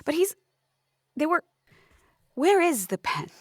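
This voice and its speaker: A woman improvising in a comedy audio drama, halting and theatrically polite. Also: high voice, sing-song. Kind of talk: improvised comic banter.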